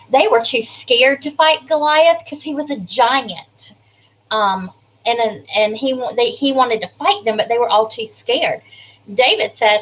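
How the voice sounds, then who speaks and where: A woman kindergarten teacher reading an information book aloud to young children, adding chatty asides, simple explanations and questions in an expressive way. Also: on the high side, rough recording.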